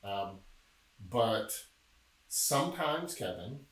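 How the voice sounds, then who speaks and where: Man, middle-aged, from memphis, low voice, relaxed storyteller, echoey room. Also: extremely slow. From Memphis, Tennessee. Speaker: podcast guest, film producer. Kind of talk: casual interview chat. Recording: echoey room, distant mic.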